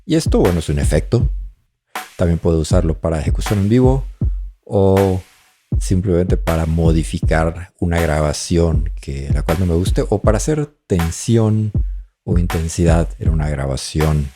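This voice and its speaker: A man presenting a music production tutorial, explaining as he demonstrates and thinking aloud in short phrases with pauses. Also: deep voice, warm tone. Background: drum loops playing.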